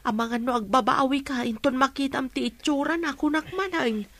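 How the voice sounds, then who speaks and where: A woman's voice: high voice, brisk, fairly bright tone, no echo. A Filipino woman playing a middle-aged, worried mother who cautions her grown son in a radio drama. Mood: fretful, resigned. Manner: fretful, cautioning. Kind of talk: Ilocano radio drama acting.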